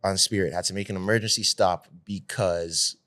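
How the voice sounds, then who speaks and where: A man co-hosting a casual podcast chat, telling stories in an animated way and acting out the sound effects himself. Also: low voice, moderate pace. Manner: animated storyteller who acts out sound effects. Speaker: podcast co-host.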